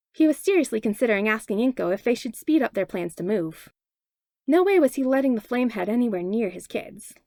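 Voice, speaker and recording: A woman narrating a fanfiction audiobook in an expressive read-aloud manner on a close mic. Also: on the high side, gravelly, dry recording. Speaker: fanfiction podfic reader. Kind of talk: storybook narration.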